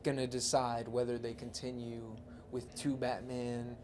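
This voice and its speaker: A man's voice: medium pitch, monotone, measured pace, background noise. A man in a casual podcast discussion, reasoning through his counterpoints step by step. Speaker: podcast co-host. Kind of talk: casual discussion about movies.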